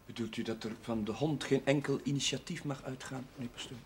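A young man playing a shy, earnest curate in a television period drama, halting, polite and deferential. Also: medium pitch, close to an everyday voice. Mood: earnest, uneasy. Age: young adult. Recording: faint noise on old TV audio.

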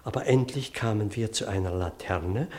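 A man's voice: lowish voice, a little mellow, natural voice. An actor playing an earnest, distressed male country vicar who tells his story theatrically in a radio play. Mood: grave, urgent. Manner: theatrical, solemn, deliberate storytelling. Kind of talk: German radio play acting.

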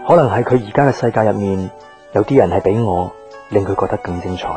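Radio drama lead: male actor as reserved young working man, Hong Kong accent, low soft-toned voice, subdued and quietly hurt. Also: fast talker, faint music behind, close to an everyday voice. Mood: wistful narration. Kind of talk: Cantonese radio drama acting with inner monologue.

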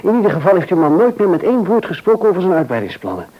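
An actor playing a courteous, hesitant music shop employee in a naturalistic radio play. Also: male voice, sits high, big pitch swings, highs cut off. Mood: uneasy, hesitant. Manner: hesitant, halting, polite. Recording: hissy, noisy old radio play recording.

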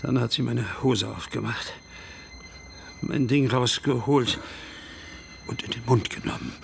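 A man acting in a radio drama as an older, guilt-ridden small-town police chief, halting and agitated. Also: mid-range voice, gravelly, slight background noise. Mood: halting confession. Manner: halting, agitated, breaking into pleading.

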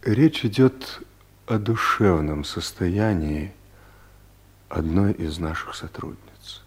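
A husky, courteous office boss. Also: male voice, sits low, animated, slow pace, full character voice. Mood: delicate, confiding. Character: suave male office boss, familiar and delicately evasive. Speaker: actor in an audio play. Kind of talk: radio comedy drama acting. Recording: 1970s studio radio play recording.

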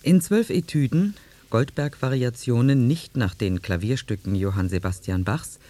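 A man's voice: medium pitch, moderate pace, mellow. A man reading a scripted introduction to a radio play as its presenter. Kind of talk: scripted narration.

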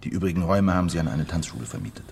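A man's voice: deep voice, lively intonation, rapid-fire, vocal fry, darkish tone, a little hiss or hum. A man playing a confident criminal planning a heist in a radio crime drama, explaining in an assured, matter-of-fact way.

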